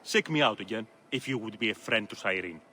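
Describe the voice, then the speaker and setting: An actor playing an earnest local leader in a video game, resolute and weary of oppressors. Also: male voice, on the low side, expressive, fairly quick, pushed and bright, slight background noise. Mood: wary, grim.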